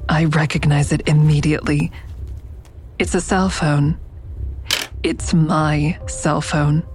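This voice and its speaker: A woman's voice: deep voice, little pitch movement, slow pace, a little mellow, slightly rough, faint music behind, exaggerated voice. An actress playing a guarded, uneasy female counselor who narrates her own story in an intimate, restrained audio drama style. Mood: tense, subdued.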